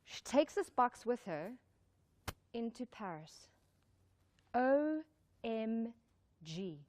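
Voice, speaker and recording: Female motivational speaker, higher-pitched voice, emphatic delivery with repeated key phrases and direct questions to a live audience. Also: very animated, extremely slow, darkish tone. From born in Zimbabwe, raised in South Africa. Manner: exclamatory, emphatic, repeats key lines, puts questions to listeners. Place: live talk before an audience.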